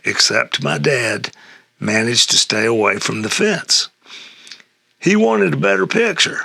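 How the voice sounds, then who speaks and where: An older man reading a humorous memoir essay aloud in a halting way, with a gravelly, husky voice. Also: mid-range voice, bright, forceful tone, no echo. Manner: halting read-aloud with stumbles and chuckles. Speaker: reader of an essay excerpt.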